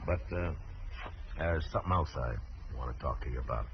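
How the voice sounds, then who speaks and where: An American actor playing a calm, fair-minded, middle-aged frontier marshal in an unhurried, plain-spoken way, in 1950s radio western style. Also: male voice, very deep voice. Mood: grave, measured. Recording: muffled, noisy old radio recording.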